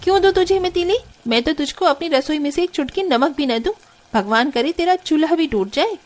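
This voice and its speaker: A woman's voice: high-pitched, animated, fairly quick, pitched above the performer's own voice. A woman voicing a haughty, quarrelsome sister-in-law in a cartoon story, with a taunting, scornful manner. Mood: spiteful. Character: haughty, spiteful elder sister-in-law, housewife. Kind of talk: exaggerated Hindi cartoon story acting.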